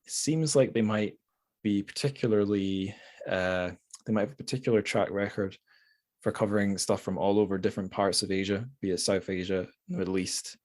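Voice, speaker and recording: Male podcast host, deep register, casual conversational delivery, wry and unpolished. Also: fairly even intonation, fairly quick, darkish tone. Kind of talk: casual book podcast chat.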